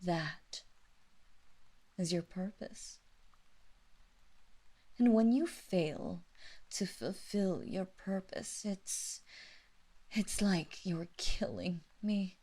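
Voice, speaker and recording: A woman voicing a cruel, seductive vampire mistress in an intimate audio roleplay, teasing and menacing the listener in a breathy, taunting way that drifts into whispers. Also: fairly low pitch, extremely slow, pauses often, mellow. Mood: sultry, possessive.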